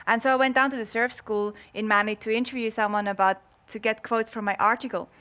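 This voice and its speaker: A woman's voice: a little high, fairly even intonation, fast, a bit bright. A woman from Switzerland with a Swiss accent, chatting casually and playfully as an interview guest. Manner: playful, joking. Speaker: interview guest, marketing entrepreneur.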